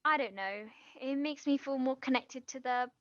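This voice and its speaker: A woman acting a nervous, eager aspiring writer in a naturalistic stage play, talking in a flustered, halting, rambling way. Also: high voice, fairly bright tone, no room sound, muffled recording. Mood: rambling, awkward. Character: nervous, eager female aspiring writer hiding her past.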